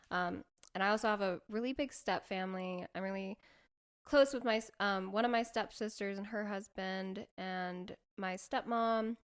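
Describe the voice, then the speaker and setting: Chatty young woman with a smooth voice. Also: medium pitch. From Colorado, USA. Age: 20s. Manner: relaxed, chatty, laughs between words. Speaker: podcast co-host. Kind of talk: casual chat.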